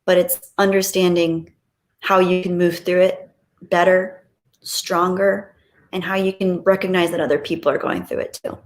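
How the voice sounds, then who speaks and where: A woman's voice: on the low side, level tone, moderate pace. A woman hosting a livestream, chatting casually and reading out viewer comments in a gushing, appreciative, playful way. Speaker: livestream host.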